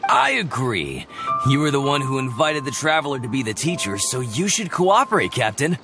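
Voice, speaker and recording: A man's voice: medium pitch, big pitch swings, moderate pace, lightly acted. An actor voicing a dutiful, earnest male first mate who speaks respectfully to his captain, with game music behind.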